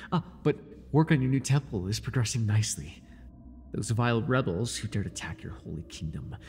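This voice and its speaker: A man playing a devoted, fawning high priest of a dark god in an audio roleplay, stammering, reverent and overeager, with breathy delivery. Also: slight background noise. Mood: smug, reverent.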